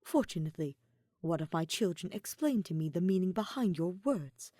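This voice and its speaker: A woman voicing a composed, formal, commanding woman in a story, sultry and measured. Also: expressive, warm tone, dry recording, studio quality. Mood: formal, slightly puzzled. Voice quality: deep, sultry.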